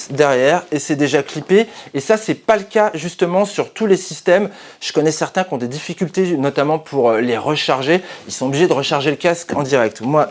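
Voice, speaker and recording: A male reviewer speaks in a fairly high register. He hosts a channel and walks through products in a casual, explanatory style.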